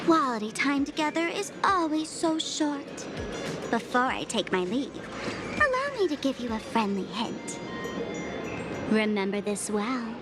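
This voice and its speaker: Poised enigmatic young lady, teasing, theatrical game dialogue, unhurried, loud music behind. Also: high voice, very animated. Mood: wistful, mysterious. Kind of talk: video game character dialogue.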